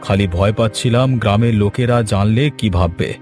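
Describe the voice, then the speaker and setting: A man voicing a betrayed, dignified village headman in a cartoon fairy tale, grave and deliberate. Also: fairly low pitch, a little mellow, faint music behind, close to an everyday voice. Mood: stern, resolute.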